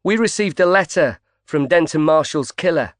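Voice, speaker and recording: A man voicing a sharp female reporter. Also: fairly high pitch, expressive, normal speed, dry recording, studio quality, voice pushed up, lightly acted. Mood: pressing. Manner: challenging, pressing.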